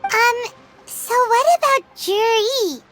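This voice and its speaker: A woman voicing a small, curious, excitable childlike fairy companion for a video game, squeaky and eager. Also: takes it slowly. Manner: eager, expressive. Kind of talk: video game character acting. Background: music.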